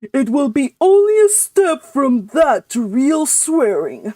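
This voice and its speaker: A grave older uncle delivers dire warnings in a stern, disapproving tone, as a woman voices him. He speaks slowly and weightily, in a dry, close sound. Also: high voice, sing-song, warm tone, dry recording, voice pushed up, full character voice.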